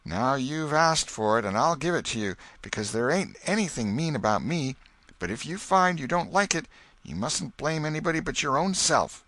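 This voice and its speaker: A man's voice: on the high side, very animated, normal speed, pushed and bright, dry recording, voice pushed up. A man voicing a mischievous young boy with a drawling country way of talking. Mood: coaxing.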